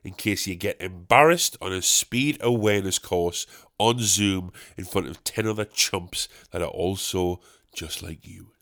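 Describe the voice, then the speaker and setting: A man's voice: low-pitched, unhurried, fairly bright tone. A man hosting his podcast solo from his bedroom, rambling and joking at his own expense, with a snotty, stuffed-up voice from a cold. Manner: rambling, self-mocking, jokey asides. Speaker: podcast host and stand-up comedian.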